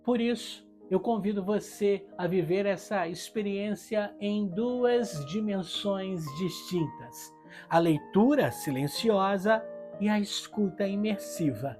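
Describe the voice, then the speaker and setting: A woman's voice: medium pitch, slow, a little mellow. A Brazilian woman reading a scripted literary review in a solemn, reflective and rhetorical manner. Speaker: book reviewer. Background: guitar music.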